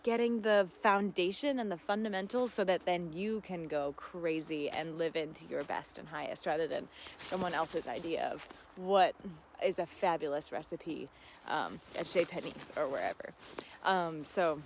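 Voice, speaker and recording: A woman's voice: on the low side, a little hiss or hum. A woman hosting a casual live gardening Q&A, chatting informally and expressively with enthusiasm as she answers viewer questions.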